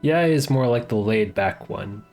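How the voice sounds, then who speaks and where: A man's voice: medium pitch, expressive, a little slow, gravelly, a little mellow. A man giving casual live commentary as a game streamer, in short offhand remarks. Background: game music.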